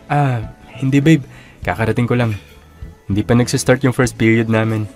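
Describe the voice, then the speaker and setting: A man's voice: mid-range voice, fairly even intonation, normal speed, darkish tone, close to an everyday voice. A man as earnest Filipino college guy, music behind. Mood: slightly awkward. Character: earnest graduating male college student and boyfriend. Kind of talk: naturalistic radio-style drama acting. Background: background music with guitar.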